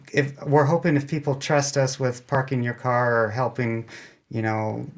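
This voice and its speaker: A man with a mid-range voice talks as a guest on an interview show. He explains casually and rambles a little, often restarting a sentence halfway through. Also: mid-range voice. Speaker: podcast guest, amateur radio club spokesman.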